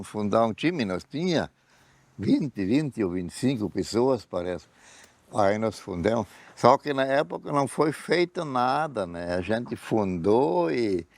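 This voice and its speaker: Old gaucho guy, lowish voice, dry room, rambling about the old days. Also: dry recording. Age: older. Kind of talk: interview reminiscence.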